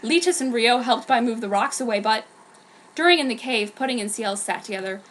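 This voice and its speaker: A woman's voice: a little high, animated, brisk, a bit bright, slight background noise. A woman reading fan fiction aloud in an earnest, slightly hesitant way with occasional slips. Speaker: reader. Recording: background soundtrack music.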